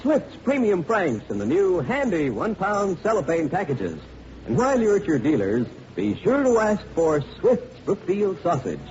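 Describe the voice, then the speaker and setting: A man's voice: high-pitched, wildly swooping pitch, normal speed, a little mellow. A man announcing and reading sponsor spots in cheerful, emphatic 1940s radio style. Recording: dull, faintly noisy vintage radio recording.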